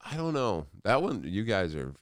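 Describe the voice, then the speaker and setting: A man's voice: on the low side, big pitch swings, measured pace, clean tone, dry recording, clean studio sound. A man from New Jersey joking crudely and irreverently as a guest in a casual podcast chat. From New Jersey, United States. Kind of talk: casual comedic group chat.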